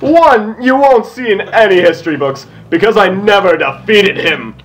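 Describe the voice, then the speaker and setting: A man's voice: sits high, expressive, normal speed, pitched above the performer's own voice, low-quality recording. Man playing a grave, manly pony princess. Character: solemn regal female pony ruler.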